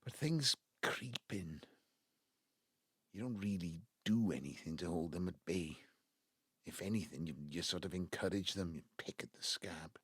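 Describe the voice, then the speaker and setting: An actor playing an embittered, wistful Welsh father in his seventies, with a South Wales Valleys accent and a halting, reflective delivery in an audio drama. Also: fairly bright tone, slightly rough, no echo, clean studio sound. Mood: bitter, brooding. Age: 70s. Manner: halting, reflective, breaking into low muttering. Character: embittered ageing Welsh patriarch, wistful and self-justifying. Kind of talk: naturalistic audio drama monologue.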